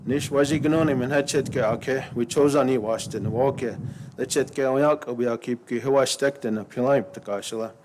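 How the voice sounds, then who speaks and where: A man whose first language is Lakota speaks haltingly at a ceremony, with plenty of ums and pauses, then settles into a steady, rhythmic prayer. He has a middle-pitched voice. The live stream carries clear background noise. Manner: hesitant, many fillers, then steady chanting prayer.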